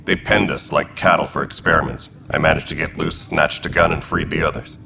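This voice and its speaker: A deep, gravelly militia commander in a sci-fi game, blunt and steady, over game noise. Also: male voice, fairly quick. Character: male Turian militia commander, old military family.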